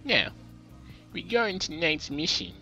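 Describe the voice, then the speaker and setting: A man's voice: sits high, lively intonation, a little slow, vocal fry, bright, forceful tone, soft background music, pitched above the performer's own voice, exaggerated voice, low-quality recording. A man voicing a tiny, furious female officer who speaks stiffly and formally with a scornful bark.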